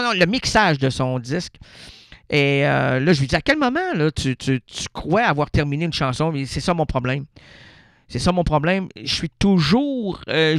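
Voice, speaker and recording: A man's voice: high-pitched, creaky, a bit bright, smooth, no room sound, studio quality. A man with a Québécois French accent hosting a radio show, rambling through a playful, absurd monologue and answering his own questions. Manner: playful, rambling, talks to himself. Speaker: radio host.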